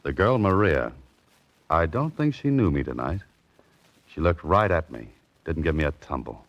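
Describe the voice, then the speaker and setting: A man's voice: a bass voice, big pitch swings, moderate pace, fairly bright tone, no echo, full character voice. A man playing a cool, wry American amateur detective in a 1940s radio mystery, clipped and understated. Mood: puzzled, terse. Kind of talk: 1940s radio drama narration and acting. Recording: dull old radio recording.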